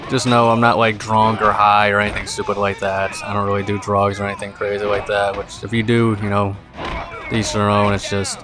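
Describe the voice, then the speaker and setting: A young man giving casual gameplay commentary, tired and rambling in a monotone voice. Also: on the low side, a little fast. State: exhausted. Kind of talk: casual let's play commentary. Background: game music.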